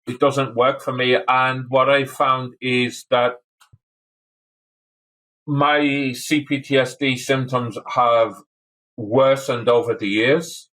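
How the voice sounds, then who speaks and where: A man from North Wales, a podcast guest talking in a halting way and often repeating words as he thinks. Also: medium pitch, steady tone, fairly bright tone, low-quality recording. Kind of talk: casual conversation.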